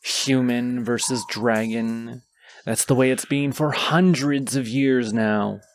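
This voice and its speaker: A man playing a proud, condescending wounded dragon in a fantasy audio roleplay, pompous and deliberate in his phrasing. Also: mid-range voice, steady tone, a little hiss or hum. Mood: scornful, haughty.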